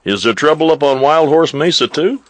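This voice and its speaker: An actor playing a chatty, folksy livery stable owner in an audio western drama. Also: male voice, fairly high pitch, lively intonation, normal speed, no room sound, close to an everyday voice. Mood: gossipy. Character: friendly talkative male stable owner. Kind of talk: radio drama acting.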